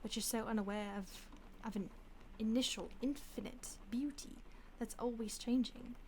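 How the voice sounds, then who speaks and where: A woman voicing a mocking, predatory female vampire stalker who talks down to her victim, soft-spoken and slipping into whispers. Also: a little high, steady tone, normal speed, a little hiss or hum, close to an everyday voice, low-quality recording. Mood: disdainful. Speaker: audio roleplay voice actor. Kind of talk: audio roleplay acting.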